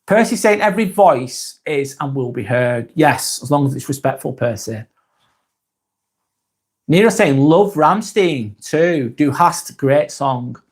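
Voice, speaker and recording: Man, Lancashire accent, live-stream host, reads chat aloud, hesitant fillers, slightly high voice. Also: expressive, slow, husky. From Lancashire, England.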